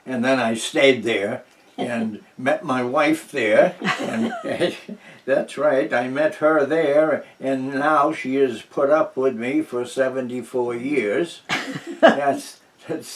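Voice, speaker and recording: A man's voice: medium pitch, slow, far from the mic, a little hiss or hum. A man in his nineties from New Hampshire, recalling his life in a home oral history interview, rambling with many restarts and fillers. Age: 90s. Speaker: interviewee, veteran.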